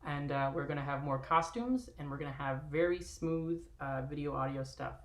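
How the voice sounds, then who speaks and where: A woman's voice: low voice, normal speed. A woman hosting an online table read, speaking in a casual livestream sign-off style. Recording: echoey livestream room.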